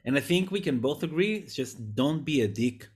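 A man's voice: fairly high pitch, expressive, moderate pace, darkish tone, husky. A man hosting an interview in a casual, conversational way. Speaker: interview host. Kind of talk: casual chat.

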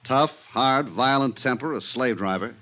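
A man acting a weary, level-headed American police inspector in a 1950s radio drama, measured and reflective. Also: medium pitch, sharp tone. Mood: persuasive, emphatic. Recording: noisy 1950s radio recording.

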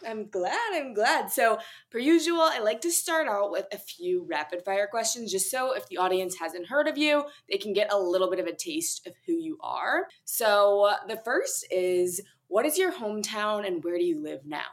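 A woman's voice: medium pitch, expressive, normal speed, few pauses. A young woman hosting a podcast interview, upbeat and chatty, laughing easily with her guest. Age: young adult. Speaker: podcast host. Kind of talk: casual interview chat.

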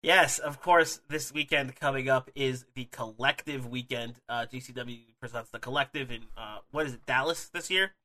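A man's voice: mid-range voice, moderate pace, hardly any pauses, bright, forceful tone. A man hosting a casual podcast while sick with a cold, sniffly and with a scratchy throat, talking energetically with many fillers. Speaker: podcast host. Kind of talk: casual wrestling podcast chat.

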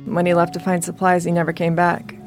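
A woman's voice: fairly low pitch, completely flat delivery, brisk, lightly acted. An actress playing a tough, distrustful middle-aged mother in a post-apocalyptic audio drama, talking in a blunt, wary way. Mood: guarded, somber. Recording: music under the voice.

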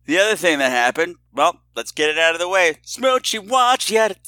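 A man's voice: high-pitched, sing-song, fairly quick, sharp tone, no room sound. A man hosting a podcast in a casual, personal monologue, with a theatrical, campy streak and a candid, confiding way of talking. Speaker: podcast host.

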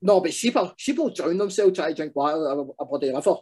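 A Glaswegian man with a thick accent chats as a podcast guest, his voice pitched a bit higher than most men's. He talks bluntly and colloquially, dropping in "aye" and "man" as he goes. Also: animated, quick pace, dark tone, slightly rough. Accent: Glaswegian Scottish. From Glasgow area, Scotland. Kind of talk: casual chat.

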